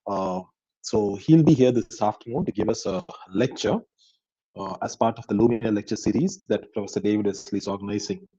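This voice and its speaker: A man's voice: on the low side, brisk, dark tone, slightly rough, dry recording. A male professor making announcements to his class over a video call, hesitant with frequent fillers. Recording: dull lo-fi call audio.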